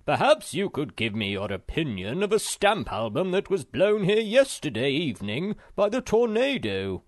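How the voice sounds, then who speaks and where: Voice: medium pitch, sing-song, normal speed, no room sound, clean studio sound, voice pushed up. A reader voicing Moomin Papa, a genial, somewhat self-important father troll.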